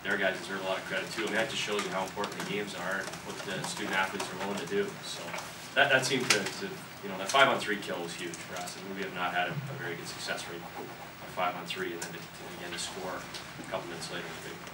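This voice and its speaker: An earnest coach in his thirties talks through a postgame press conference, restarting his sentences with repeated words. His low, even voice carries the echo of a room and sits at a distance from the table mic. Loud background noise runs under everything. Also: fast. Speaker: college hockey coach. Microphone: table mic from the camera's distance.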